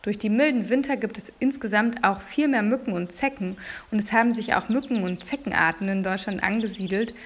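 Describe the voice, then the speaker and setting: A woman's voice: mid-range voice, brisk, hardly any pauses, lightly acted. A woman in her thirties playing a woman worn down by longer allergy seasons, telling it in an earnest, matter-of-fact way. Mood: plain. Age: 30s. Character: woman of 37 suffering worse allergies. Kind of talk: scripted first-person testimony.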